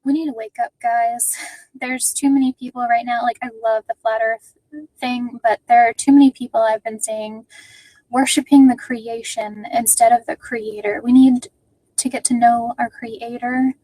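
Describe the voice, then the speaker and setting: A woman's voice: on the high side, steady tone, normal speed, dark tone, dry recording, far from the mic, low-quality recording. A woman making an urgent, exhorting appeal. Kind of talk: preachy appeal.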